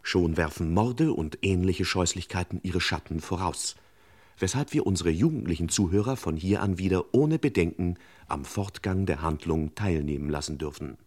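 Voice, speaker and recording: An actor playing the dry, mock-serious narrator of a vintage radio crime serial. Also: male voice, sits low, moderate pace, a little mellow. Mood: dry, mock-solemn. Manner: mock-solemn, ornate phrasing. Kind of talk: scripted radio play narration.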